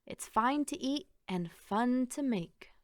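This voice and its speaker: Woman doing a kids storybook read aloud, real expressive, takes her time, higher voice, very dry sound. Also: slow pace, no room sound. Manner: expressive, theatrical storytelling with emphatic phrasing. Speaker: picture book reader.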